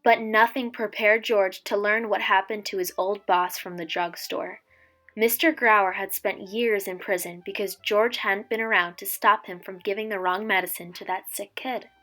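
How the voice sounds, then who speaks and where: A woman reading a children's story aloud as a teacher would, with dramatic, expressive delivery. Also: mid-range voice, moderate pace. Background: background music.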